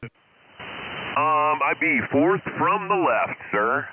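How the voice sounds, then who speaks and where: A man's voice: a little high, lively intonation, drawn-out words. A middle-aged American man chatting casually as an amateur radio operator, heard over a radio link. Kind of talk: casual ham radio contact chat. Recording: hissy, narrow-band ham radio transmission.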